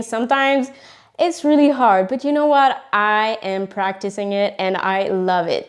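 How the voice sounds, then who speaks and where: French-accented woman, earnest podcast chat. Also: fairly high pitch. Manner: earnest, with frequent fillers and restarts. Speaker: podcast host. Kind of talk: casual motivational podcast talk.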